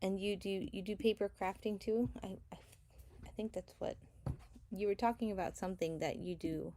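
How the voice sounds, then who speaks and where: A woman's voice: mid-range voice, steady tone, normal speed, warm tone, slight background noise. A woman who was born in Chile, chatting casually during a live selling stream, rambling a little and thanking buyers. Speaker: live seller. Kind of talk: casual livestream chat. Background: crinkling sticker packs.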